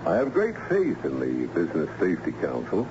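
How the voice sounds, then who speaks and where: Haughty upper-crust gentleman for radio drama: very low, animated, condescending, on a dull vintage recording. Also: a little fast. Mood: haughty, courteous. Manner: pompous, formal, condescending. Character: wealthy, haughty middle-aged gentleman, pompous and sure of himself. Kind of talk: old-time radio drama acting. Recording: muffled, noisy old radio broadcast.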